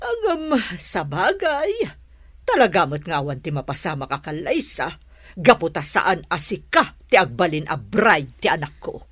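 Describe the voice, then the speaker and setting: Woman playing a husky, swooping scheming mother-in-law. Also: lowish voice, sing-song, measured pace, fairly bright tone, no room sound, studio quality, heavily acted. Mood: scornful. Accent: Ilocano. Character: sharp-tongued, scheming middle-aged mother-in-law. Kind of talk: Ilocano radio drama acting.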